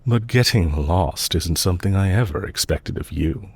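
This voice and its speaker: Guy acting as a genteel villain boss, very low husky voice, polite n measured, audio roleplay. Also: exaggerated voice. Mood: calm, mildly chiding. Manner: polite, measured, formal, softly commanding. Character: courteous villain leader, calm, genteel, solicitous captor. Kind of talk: scripted roleplay audio drama.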